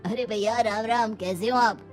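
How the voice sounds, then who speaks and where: A woman's voice: a little high, steady tone, normal speed, natural voice. A middle-aged village husband , acted by a woman, familiar and agreeable but a little bossy. The cartoon story has music behind it. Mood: hospitable, directing.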